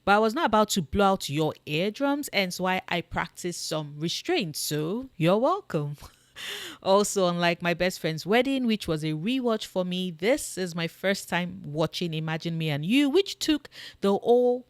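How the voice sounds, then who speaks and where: A young woman with a fairly low, booming voice recaps a movie for her channel, jumping between brisk narration and playful, sarcastic asides. The sound is close and dry, with no room around it. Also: expressive, dry recording. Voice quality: loud booming voice. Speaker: YouTube film reviewer. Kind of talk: scripted film recap.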